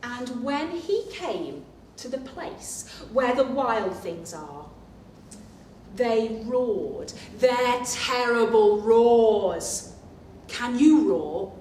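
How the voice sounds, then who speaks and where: A woman reads a picture book to small children in a very slow, expressive storytelling voice. Her pitch is on the high side and she often turns to the listeners with asides and questions. The room is echoey around her. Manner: animated, expressive, with gasps and questions to the young listeners. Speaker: librarian reading to young children. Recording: echoey room, distant mic, faint noise.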